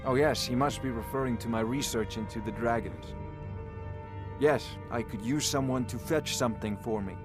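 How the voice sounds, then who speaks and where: Male actor as pompous court wizard, scholarly, condescending, wry, middle pitch, fantasy game, background music. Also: moderate pace, natural voice. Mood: self-important, wry. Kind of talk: fantasy video game acting. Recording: music behind the voice.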